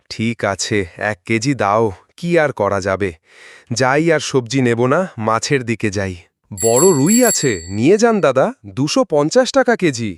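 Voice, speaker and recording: A man's voice: medium pitch, no echo, studio quality, natural voice. A man playing plain-spoken, folksy village men in a cartoon fairytale. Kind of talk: Bengali cartoon fairytale dialogue.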